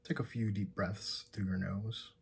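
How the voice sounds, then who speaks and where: Low, very husky man guiding meditation slowly. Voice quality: soft, breathy, husky. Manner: calm, gentle instruction with long pauses. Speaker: meditation guide. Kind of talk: guided meditation, soft and slow.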